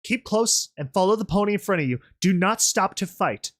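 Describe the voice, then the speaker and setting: A woman's voice: medium pitch, expressive, moderate pace, pitched above the performer's own voice, lightly acted. A woman voicing a defiant, desperate female pony rebel leader in a story, earnest, resolute and commanding. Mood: urgent instruction.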